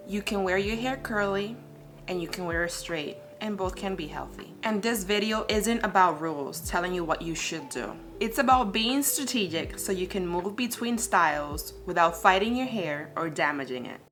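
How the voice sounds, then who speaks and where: A woman who grew up in the Dominican Republic, giving a calm, scripted explainer on hair care. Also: on the low side, moderate pace, music in the background.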